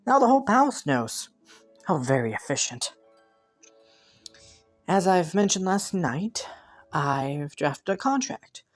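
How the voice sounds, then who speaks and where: Young prince female performer: low voice, courtly and calculating yet easily flustered, intimate roleplay addressed to the listener, music underneath. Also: sing-song, slow pace, pauses often. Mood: businesslike, composed. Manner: formal courtly phrasing with flustered stammers.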